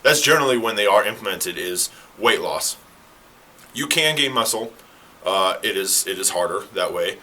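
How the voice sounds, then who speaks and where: A man who is a fitness coach, talking casually to the camera in a rambling, self-correcting way. He sounds slightly hoarse. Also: on the low side, expressive, moderate pace, bright, forceful tone, a little hiss or hum, rough recording. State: slightly hoarse, clearing throat. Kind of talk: casual explainer talk to camera.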